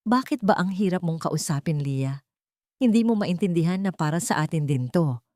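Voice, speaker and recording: Lady doing touchy husband hiding stuff, defensive, short fuse, mellow dry voice. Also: lowish voice, lively intonation, normal speed, no room sound, lightly acted. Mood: irritated, threatening.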